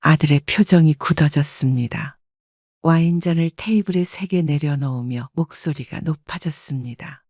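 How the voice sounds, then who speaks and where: A woman narrating a scripted first-person family story in a calm, reflective tone. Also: low-pitched, normal speed, no echo, studio quality.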